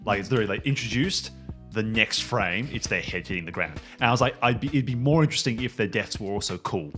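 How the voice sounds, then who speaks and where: A lower-voiced man runs a tabletop game and chats with his players, and his pitch swings widely as he gets animated. He acts out quotes as he talks, with faint music in the background. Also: quick pace. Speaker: game master and show creator. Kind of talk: casual group chat.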